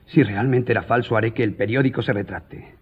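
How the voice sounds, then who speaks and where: Firm, husky gentleman journalist. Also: lowish voice, narrow, tinny audio. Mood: firm, offended. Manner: formal, measured, firm. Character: proud, composed male newspaper journalist, duel-ready gentleman. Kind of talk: radio drama acting.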